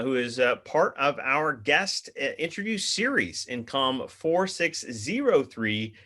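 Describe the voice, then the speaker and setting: A man's voice: on the high side, pushed and bright. A man, a university professor, introducing a guest for his class, with many fillers and restarts. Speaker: professor and host.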